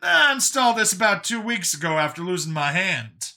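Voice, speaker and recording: A narrator playing an earnest, frank man in a science fiction audiobook. He is guarded yet forgiving, and speaks emphatically in a raised, bright, forceful male voice. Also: high voice, big pitch swings, fairly quick, gravelly, voice pushed up, heavily acted. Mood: matter-of-fact. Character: earnest abducted human man, wary but forgiving. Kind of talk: audiobook character dialogue.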